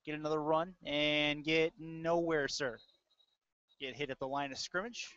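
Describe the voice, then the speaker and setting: A man's voice: on the high side, flat delivery, a little slow, a bit bright, clear, resonant tone, no room sound. A man doing live play-by-play commentary of a football game as a sports announcer. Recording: dull lo-fi web audio stream.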